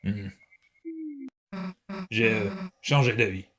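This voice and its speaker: A man voicing a brash, tough teenage monster girl with a soft side in an amateur video game comic dub, gruff and offhand. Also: on the low side, slow, background noise.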